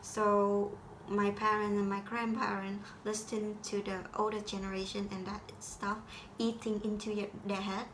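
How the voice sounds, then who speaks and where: A teenage girl from Vietnam with a Vietnamese accent, speaking English as a second language in a halting school assignment talk full of restarts. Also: mid-range voice, level tone, slight background noise, poor audio. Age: teens. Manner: halting, with frequent restarts and grammar slips. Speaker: high school student.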